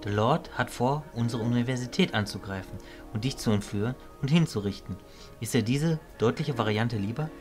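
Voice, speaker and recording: A man plays a fatherly mentor mage in an audio play. He talks at a middle pitch, calm and grave, stating things matter-of-factly over faint music. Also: fairly quick, natural voice. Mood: serious. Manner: composed, matter-of-fact.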